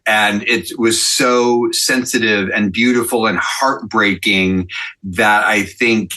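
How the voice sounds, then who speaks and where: A man with a fairly low but bright, forward voice talks on a panel. He takes his time, very slow in pace, and comes across as earnest and articulate. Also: fairly even intonation. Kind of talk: reflective panel conversation.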